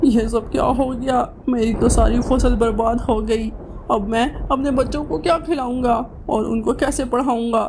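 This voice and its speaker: A woman's voice: a little high, steady tone, moderate pace, lightly acted. A woman voicing a worried poor farmer father pigeon in a cartoon, earnest and fretful. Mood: dismayed. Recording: noisy, rain-like storm sounds behind. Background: rain and thunder.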